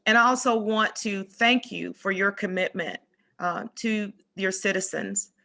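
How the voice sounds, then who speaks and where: A woman's voice: mid-range voice, a little slow, vocal fry, bright, forceful tone. A woman moderating a forum, graciously thanking the speakers and handing over to the next speaker. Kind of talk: closing remarks.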